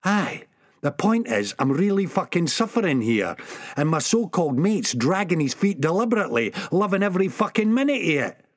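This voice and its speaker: A desperate young Scottish addict, shrill and strained, snarling and swearing at everyone around him, as a man narrating puts him on. His voice jumps high above the narrator's own and swings wildly up and down in pitch. He is recorded close and dry. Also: big pitch swings, moderate pace, dry recording. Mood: desperate, aggrieved. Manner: snarling, cursing constantly. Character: frantic young male junkie, wheedling and aggressive.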